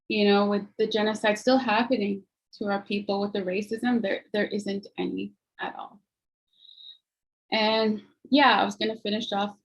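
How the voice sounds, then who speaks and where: A woman's voice: mid-range voice, poor audio. A woman giving an earnest personal account at an online panel, talking conversationally over a video call. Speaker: guest speaker.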